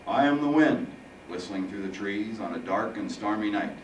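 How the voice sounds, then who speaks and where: A menacing, mockingly grandiose Grim Reaper put on by a man from a comic storybook. The voice is phlegmy and theatrical, with sweeping pitch. It sits in a roomy space, slightly away from the mic. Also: lively intonation, moderate pace, lo-fi sound, natural voice. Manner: grandiose, dramatic. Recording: roomy, distant mic, faint noise.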